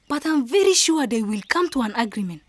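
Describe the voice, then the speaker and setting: Caring young village friend, soft, earnest, slightly high warm voice, dry radio play sound. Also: female voice, breathy edge, no room sound, heavily acted. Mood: respectful, wistful. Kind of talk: radio drama acting.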